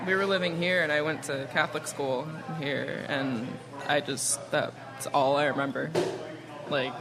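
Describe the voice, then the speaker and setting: A young woman with a deep voice, a guest musician who answers hesitantly and laughs often. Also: steady tone, a little slow, over music. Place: bar during happy hour.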